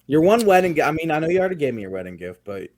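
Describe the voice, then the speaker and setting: Young man with a recovering hoarse voice. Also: fairly high pitch, sing-song, very fast, darkish tone. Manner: chatty, rambling, frequent fillers. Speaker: co-host of a sports podcast. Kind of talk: casual sports chat.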